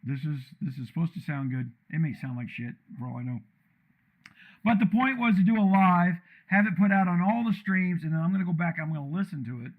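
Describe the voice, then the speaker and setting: A man live-streaming while he tunes his microphone, talking in a blunt, loud, rambling way with a deep, commanding voice, in an untreated room with a computer fan. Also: brisk, phone-quality sound. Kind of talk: casual live-stream rant.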